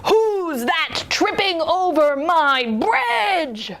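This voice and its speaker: A woman's voice: extremely high pitch, sing-song, a bit bright, pitched above the performer's own voice, full character voice. A woman voicing a mean, ugly, menacing male troll who roars in a children's storybook. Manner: roaring, menacing, drawn-out.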